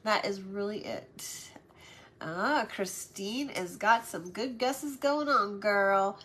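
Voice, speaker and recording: A woman's voice: medium pitch, slow, fairly bright tone. Middle-aged woman, stuffy nasal voice, chatty live host, faint background hiss. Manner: chatty and friendly, greets viewers by name. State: congested, nasal from sinus trouble. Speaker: craft livestream host. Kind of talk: casual live chat greeting viewers.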